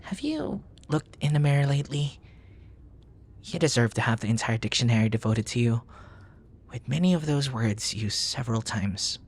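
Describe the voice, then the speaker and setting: A man playing a bashful, bookish young nerd in an intimate ASMR boyfriend roleplay, speaking softly and breathily in flowery, formal phrases, flustered and hesitant, often slipping toward a whisper. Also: mid-range voice, big pitch swings, moderate pace, slightly rough. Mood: smitten, tender.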